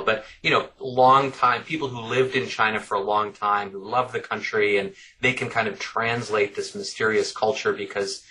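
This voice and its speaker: Middle-aged male guest, bright lowish voice, thoughtful, restarts his sentences. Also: poor audio. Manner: thoughtful, repeats words while working out ideas. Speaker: author guest. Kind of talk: conversational interview answers.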